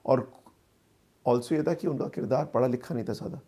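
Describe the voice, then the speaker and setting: A man giving conversational interview answers about his work as a filmmaker, speaking in a halting, thoughtful way and mixing in English phrases. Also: mid-range voice, dark tone, husky. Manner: halting, thoughtful, with repeated words and pauses; mixes English phrases into Hindi.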